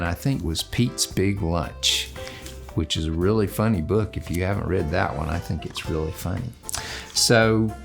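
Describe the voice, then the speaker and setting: Man, children's drawing host, gentle and halting, gravelly fry, echoey room. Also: on the low side. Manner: gentle, unhurried, halting, thinking aloud. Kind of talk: casual drawing lesson for young children.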